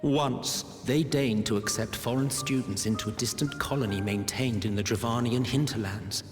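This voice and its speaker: Theatrical low-voiced sardonic villain. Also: male voice. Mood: disdainful. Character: theatrical, world-weary male villain, ancient, sardonic and grandiose. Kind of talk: dramatic game cutscene narration. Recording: echoey hall reverb with music behind. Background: music.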